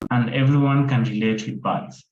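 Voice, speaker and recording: A man's voice: medium pitch, steady tone, normal speed, a little mellow. A man speaking as a conservation photographer on an online panel, repeating words for emphasis. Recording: roomy, dull sound with faint noise.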